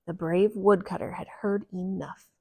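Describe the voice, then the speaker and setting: A woman reading a picture book aloud to young children in a gentle, deliberate manner. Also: on the low side, steady tone, creaky, slightly rough. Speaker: children's storybook reader.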